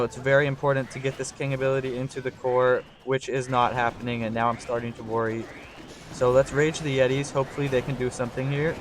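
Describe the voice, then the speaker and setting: Young male gameplay commentator, slightly hoarse, mid-pitched voice, thinks out loud, faint noise behind. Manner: talks through his thinking, excitable on big plays. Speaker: gaming channel host.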